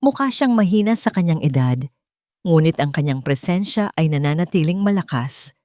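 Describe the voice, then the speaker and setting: A woman narrates a dramatic story in a fairly low voice. Her delivery is scripted, measured and deliberate, with each phrase set out carefully. The sound is dry, with very little room. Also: lively intonation, mellow, no room sound.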